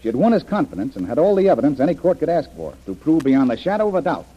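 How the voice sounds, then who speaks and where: An actor playing a confident, decisive adventure hero in an old-time radio drama, earnest and brisk. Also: male voice, on the high side, sing-song, breathy edge. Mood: confident, explanatory. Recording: dull, band-limited vintage radio recording.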